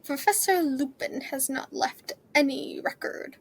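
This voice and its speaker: A woman's voice: high voice, a little slow, slight background noise, rough recording. A woman playing a stern, cold, sneering male wizard schoolteacher in an audio drama, speaking in a clipped, disdainful way.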